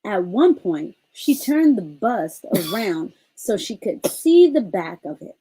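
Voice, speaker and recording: A woman reading a bedtime story aloud to a child, pausing to explain and ask questions. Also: medium pitch, big pitch swings, dark tone, breathy edge, poor audio.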